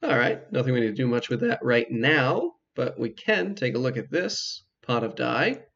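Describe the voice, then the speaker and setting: A man giving casual, measured commentary as he hosts a video game walkthrough, explaining things hesitantly with dry asides. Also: mid-range voice, very animated, gravelly, a bit bright, dull audio, faint music behind. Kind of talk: casual let's play commentary.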